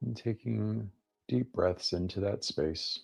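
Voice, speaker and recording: A deep-voiced man guides a meditation over background music. He speaks very slowly, in gently inviting phrases broken by long pauses. He sounds like a teacher giving soft instruction. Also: vocal fry, breathy edge.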